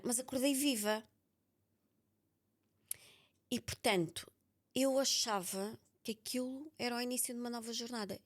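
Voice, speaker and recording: A woman with a European Portuguese accent and a slightly low voice talks in a personal, reflective interview. She is candid and emphatic, repeating key words to drive them home. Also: a little mellow. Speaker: podcast author being interviewed.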